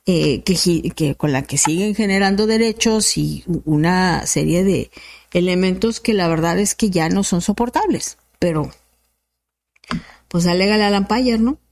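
A woman's voice: on the low side, normal speed, darkish tone. A woman in her fifties with a Mexican accent, hosting a radio news show and giving blunt, casual opinions. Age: 50s. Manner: outspoken, opinionated, slangy.

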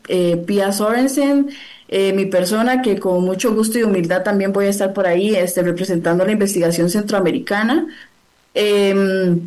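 A woman's voice: medium pitch, a little mellow. A woman from Costa Rica, a gastronomy researcher, answering interview questions over a video call in a friendly, fluent way with many fillers.

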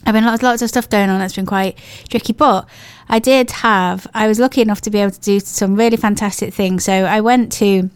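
A woman with an English accent presenting a radio show, her voice croaky and hoarse from a cold, chatting in a gushing, rambling way. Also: medium pitch, fairly even intonation, fairly quick, few pauses, no room sound. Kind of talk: casual radio chat.

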